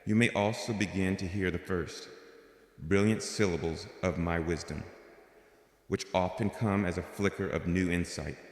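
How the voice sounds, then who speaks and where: A man with a very low voice reads a devotional script slowly and gently, speaking as God in the first person. His soothing delivery rings out with a long, hall-like reverb around it. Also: fairly even intonation.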